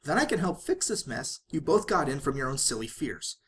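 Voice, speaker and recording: A narrator voicing a hyperactive, bubbly and bossy young female cartoon pony in an excitable, playful way. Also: medium pitch, a little fast. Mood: determined.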